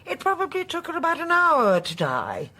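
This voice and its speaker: A woman's voice: very high voice, wildly swooping pitch, moderate pace, sharp tone, exaggerated voice. An actress playing a genteel, haughty older woman in a radio drama, a chatty and unrepentant poisoner with an imperious, emphatic manner. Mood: coolly boastful.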